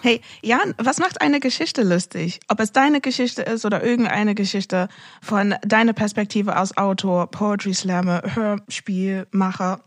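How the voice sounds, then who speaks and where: A woman's voice: medium pitch, unhurried, no room sound, clean studio sound. A woman hosting a podcast, asking questions in a conversational interview style. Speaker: podcast host.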